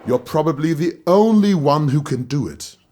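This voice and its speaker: A man playing a gruff, impatient, pompous colony chancellor in an audio drama, brusque and commanding. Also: fairly high pitch, lively intonation, moderate pace, mellow, lightly acted.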